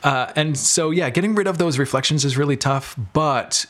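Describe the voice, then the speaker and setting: A man's voice: fairly high pitch, moderate pace, a bit bright. A man speaking as an interview guest in a small bedroom, explaining his audio craft informally with practical examples. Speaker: podcast guest, audio drama maker. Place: small bedroom with carpet, curtains and bare walls.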